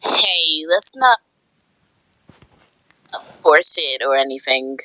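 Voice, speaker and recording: A woman voicing a gently coaxing comic-dub character, soft, hesitant and pleading. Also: low-pitched, lively intonation, extremely slow, no echo, exaggerated voice, low-quality recording. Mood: gentle coaxing.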